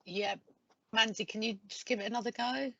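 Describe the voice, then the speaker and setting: A woman moderating a video meeting, prompting people in a brisk, practical way. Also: fairly high pitch, fairly even intonation, a bit bright, no room sound, lo-fi sound. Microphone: video call.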